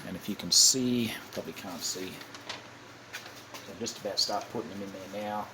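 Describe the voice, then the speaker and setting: A man giving a casual, unscripted hands-on demonstration, halting and thinking aloud as he goes, often correcting himself. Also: fairly low pitch. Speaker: hobbyist demonstrating a kit build. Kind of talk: casual unscripted how-to narration. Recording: clearly noisy amateur home recording.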